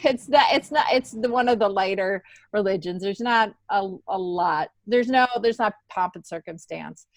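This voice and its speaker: A middle-aged woman chats as a guest in a casual conversation. She wanders through her thoughts like a relaxed storyteller, at an average pitch. The sound is dry, with very little room around her. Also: normal speed, hardly any pauses, no room sound. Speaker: podcast guest, stand-up comedian.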